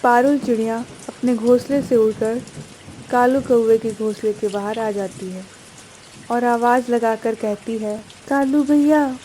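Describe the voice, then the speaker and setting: A woman's voice: on the high side, fairly even intonation, unhurried, mellow, rough recording. A woman reading scripted narration for a children's cartoon story, with rain noise behind her.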